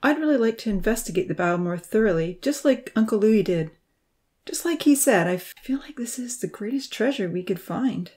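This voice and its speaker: A woman voicing a troubled, scarred young man in a story, speaking haltingly with a wavering voice. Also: mid-range voice, lively intonation, darkish tone, voice pushed up, natural voice. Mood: earnest. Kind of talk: storybook character reading.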